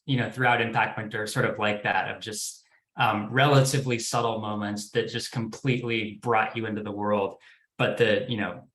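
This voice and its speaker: A man's voice: fairly low pitch, fairly bright tone. Hesitant male executive in a roomy space. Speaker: development executive, panel guest. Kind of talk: informal professional panel discussion. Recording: roomy, echoing sound.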